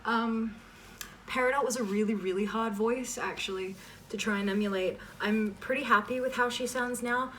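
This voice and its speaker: A woman's voice: on the high side, fairly even intonation, moderate pace, a bit bright. A woman chatting casually as she answers questions, rambling and self-deprecating with trailing asides. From Canada. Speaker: host of a fan voice-acting channel. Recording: distant mic with faint background noise.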